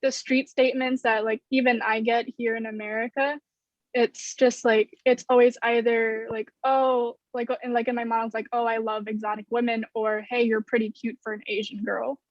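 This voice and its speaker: A woman's voice: fairly high pitch, little pitch movement, moderate pace. A young woman speaking casually as a panelist in a discussion over a video call from a room at home. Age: young adult. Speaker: student playwright, panelist. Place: bedroom, indoors. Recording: roomy, dull video-call audio.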